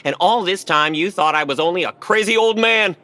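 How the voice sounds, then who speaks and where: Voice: medium pitch, a little fast, sharp tone, lightly acted. An actor playing a menacing, haughty party official in an audio play, with a pompous, theatrical and mocking delivery. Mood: grandiose.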